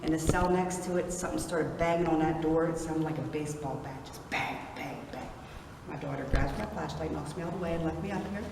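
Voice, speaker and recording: A middle-aged woman with an Appalachian accent, leading a prison tour and telling stories loudly to her group. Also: deep voice, brisk, creaky. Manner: projecting loudly to a group, storytelling. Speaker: tour guide. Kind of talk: guided tour talk to a group. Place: large empty rooms of an old prison at night. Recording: echoey rooms, distant and noisy.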